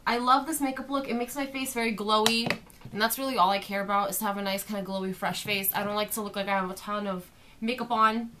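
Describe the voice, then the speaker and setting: A young woman, slightly hoarse from a sore throat, talks casually through a makeup tutorial. She explains each step as she applies it. The sound comes from a webcam in her bedroom. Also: on the high side, bright, forceful tone. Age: young adult. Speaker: beauty vlogger. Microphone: webcam at desk distance.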